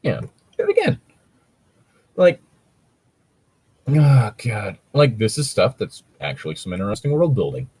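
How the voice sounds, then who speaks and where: Low voiced dude just chatting, rambly explainer type, swears a bit, dry recording. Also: male voice, wildly swooping pitch, measured pace, long pauses, darkish tone, slightly rough, no room sound. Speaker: co-host reading and discussing fan fiction. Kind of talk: casual chat and commentary.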